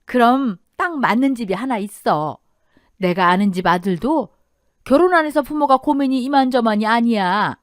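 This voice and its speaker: A woman's voice: on the high side, animated, normal speed, dry recording, studio quality, close to an everyday voice. Woman as a gossipy, eager neighborhood matchmaker. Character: chatty middle-aged restaurant woman, eager neighborhood matchmaker.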